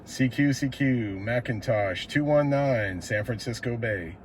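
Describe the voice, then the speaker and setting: Casting: American male CB operator, mid-pitched, laid-back slangy radio chat, with clear static noise behind him. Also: measured pace. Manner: laid-back slangy, says "man" often.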